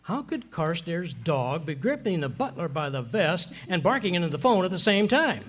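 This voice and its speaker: A man's voice: a little high, sing-song, moderate pace. A man playing a stubborn, boastful, bumbling middle-aged husband in 1940s radio comedy style, with folksy, slangy grumbling. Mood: grumbling, doubtful. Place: live performance of a radio play. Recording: hissy, noisy live recording.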